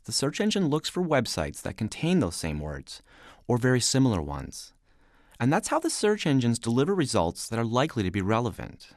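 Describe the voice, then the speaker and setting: A man delivering scripted instructional narration as a voice-over, explaining clearly and stressing key words. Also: mid-range voice, no echo, studio quality.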